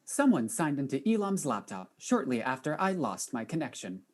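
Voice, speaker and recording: An actress playing a polite robot computer assistant in an audio drama, speaking in a formal, stilted, precise way. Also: female voice, extremely low pitch, big pitch swings, moderate pace, heavy background noise. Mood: dutiful, apologetic.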